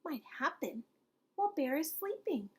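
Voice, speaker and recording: A woman reading a picture book aloud as a preschool teacher, expressive and pausing to put questions to young listeners. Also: sits high. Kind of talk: expressive children's storytime read-aloud.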